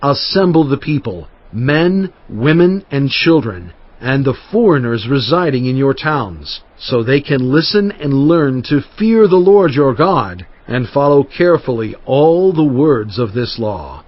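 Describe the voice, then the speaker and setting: Moses, husky and fervent. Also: male voice, medium pitch, sing-song, measured pace, no echo. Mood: exhorting. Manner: earnest, exhorting, emphatic. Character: Moses, aged male prophet and leader. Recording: muffled lo-fi audio with faint music.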